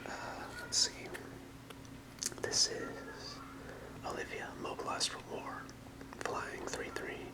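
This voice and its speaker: Hushed, unvoiced ASMR whisper. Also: slow, pauses often, low-quality recording. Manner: soft unvoiced whisper, hesitant, apologetic. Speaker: ASMR video creator. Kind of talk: whispered ASMR, casual rambling card-deck showcase. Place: apartment room.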